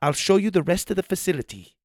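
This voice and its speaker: A man's voice: sits high, lively intonation, fast talker, a little mellow, dry recording, clean studio sound, pitched above the performer's own voice. A man reading aloud and voicing a stern female panda center worker who scolds in short clipped phrases.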